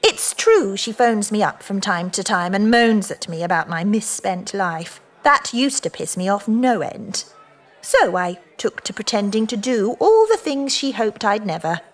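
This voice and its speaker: A woman's voice: medium pitch, lively intonation, unhurried. An actress playing a brash, teasing British woman of about forty in an audio drama, with a blunt, mocking, flirtatious manner. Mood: provocative. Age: middle-aged.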